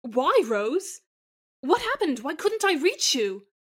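A woman plays a tender woman in an audio fan drama, newly reunited with the one she loves. Her voice sits fairly high, and she chooses formal, careful words loaded with emotion. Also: very animated, takes it slowly, exaggerated voice. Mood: anguished, exasperated. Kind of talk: dramatised fan fiction reading.

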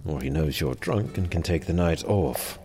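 An actor playing an uneasy medieval sheriff in an audio drama, hesitant, dry and understated. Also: male voice, extremely low pitch, normal speed, mellow, soft background music.

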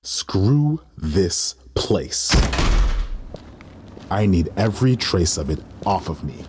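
A man's voice: low-pitched, big pitch swings, slow, background noise, heavily acted. A man playing a weary, resentful waiter who narrates an audio drama in a dry, sardonic inner monologue. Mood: bitter, fed up. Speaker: lead actor and narrator.

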